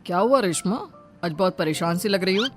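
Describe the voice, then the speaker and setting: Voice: medium pitch, a little fast, natural voice. A performer voicing a concerned, puzzled husband in a cartoon story, with a questioning manner. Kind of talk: Hindi cartoon story dubbing. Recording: music behind the voice.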